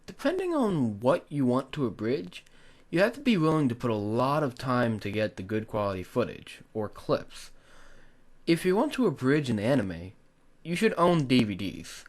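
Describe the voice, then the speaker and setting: Animated man narrating a tutorial. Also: medium pitch, normal speed. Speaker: tutorial host, hobby video creator.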